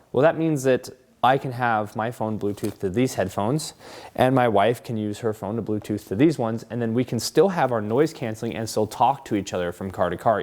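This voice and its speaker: Low-pitched man giving a casual review. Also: darkish tone. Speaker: car channel host. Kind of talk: casual product review to camera.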